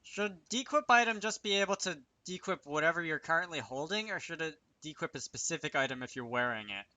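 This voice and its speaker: A man walks through code in a casual tutorial, muttering his reasoning aloud and tossing in wry asides. His voice is forward and bright, slightly higher than average. The recording is dry and close, as from a desk microphone. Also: lively intonation, brisk, dry recording. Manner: self-talking, wry asides. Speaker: video creator demonstrating game programming.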